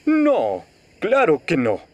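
A man voicing a proud, excitable locomotive in a children's cartoon dub, theatrical and self-important, with a Latin American accent. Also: fairly high pitch, takes it slowly, muffled recording, heavily acted. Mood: haughty, defensive. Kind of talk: animated children's series dub.

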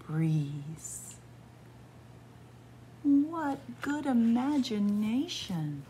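A middle-aged woman librarian reading a picture book aloud to young children in a library, in a sing-song way with soft hushed asides. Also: medium pitch, slight background noise. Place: library with bookshelves, indoors.

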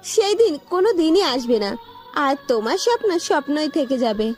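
A very high, animated female voice a woman acting plays a money-minded young girlfriend. It is melodramatic love-story audio with music behind the dialogue. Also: moderate pace. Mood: cold, scornful. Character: scornful, money-hungry young girlfriend, later begging forgiveness.

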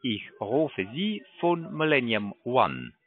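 A man cold-calling as a sales agent, giving a scripted pitch over the phone. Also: sing-song, slow. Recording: muffled narrow phone line.

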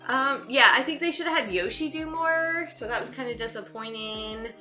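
A woman's voice: fairly high pitch, lively intonation, moderate pace, sharp tone, soft background music. A woman in her thirties hosting a casual movie reaction chat, informal, giggly and exclamatory, in a living room. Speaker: host of a movie reaction show.

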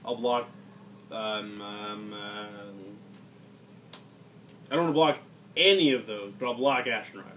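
Grumbling male card player, mid pitch, mumbled calls, picked up from far off with steady room noise. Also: unhurried, long pauses. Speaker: card game opponent. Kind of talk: casual chat while playing. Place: indoor room at a game table. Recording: distant, noisy phone capture.

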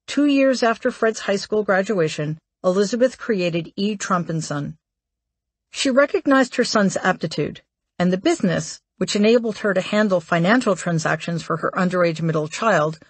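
A woman reading a memoir aloud as an audiobook narrator, in a measured, articulate way. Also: mid-range voice, expressive, breathy edge, no echo.

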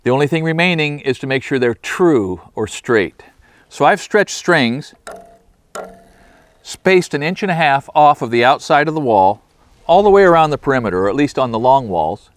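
A man's voice: medium pitch, expressive, moderate pace, no echo. An older man, a carpenter, explaining his work in a plainspoken, practical way at an outdoor building site.

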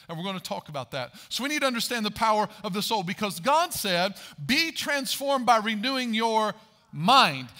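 A man's voice: sits high, pushed and bright. A man in his forties preaching a sermon on a large church stage, asking the audience questions and building emphasis, picked up by a headset mic. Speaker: pastor.

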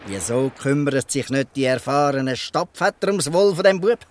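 A man's voice: medium pitch, expressive, normal speed, a little hiss or hum, lightly acted. A man acting a shrewd old Swiss German fisherman, swinging intonation. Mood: reproachful, pointed. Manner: pointed, ironic questioning. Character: old fisherman, shrewd, gruff, protective of street children. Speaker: actor in an audio drama. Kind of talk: dialect radio play acting.

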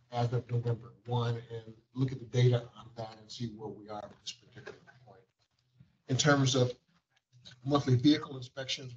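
A man's voice: mid-range voice, slight background noise. A man reporting to a board in a formal meeting, with wordy, bureaucratic phrasing and frequent fillers, recorded on a table microphone in a large conference room. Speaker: agency administrator. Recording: dull lo-fi meeting audio.

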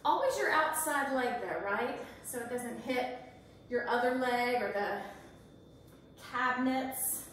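An encouraging woman in her forties leads a workout from her home kitchen, her voice high and her pace unhurried. She counts reps aloud while she moves. The camera sits across a bare, echoing room, so she sounds distant. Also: lively intonation, a bit bright, a little hiss or hum. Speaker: fitness instructor. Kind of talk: guided workout instruction. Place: large home kitchen, indoors. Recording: echoey kitchen, voice from far off.

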